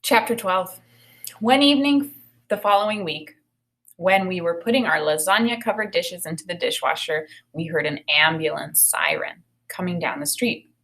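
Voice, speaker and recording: A woman in her thirties with an American accent, teaching through an expressive read-aloud with spoken commentary on the story. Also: on the low side, moderate pace. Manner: expressive reading with acted dialogue.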